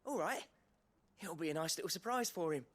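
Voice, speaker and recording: A man's voice: on the high side, lively intonation, brisk, a bit bright. An actor with an English accent playing a good-natured, wry working man in a video game. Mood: cheery, wry. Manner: colloquial, wry. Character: chirpy, good-natured, folksy male working-class villager. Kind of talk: dramatic video game dialogue.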